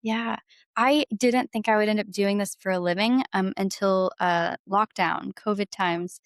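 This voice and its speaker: A woman's voice: mid-range voice, fairly even intonation, unhurried, no echo, clean studio sound. A woman in her twenties chatting casually as an interview guest, bubbly and gushing, peppering her talk with "like". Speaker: guest singer-songwriter and violinist.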